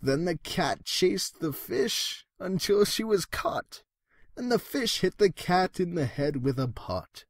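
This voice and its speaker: A man's voice: high-pitched, lively intonation, no room sound, studio quality. A man narrating a rhyming horror storybook tale in a theatrical storytelling manner. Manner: theatrical, slow-paced storytelling.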